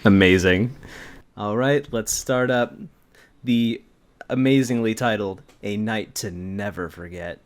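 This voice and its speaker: A man's voice: on the low side, takes it slowly. A man giving a casual, comedic read-aloud as host, with amused, mock-grand delivery and frequent asides. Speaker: host of a comedic dramatic fanfiction reading. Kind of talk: casual comedic reading with commentary.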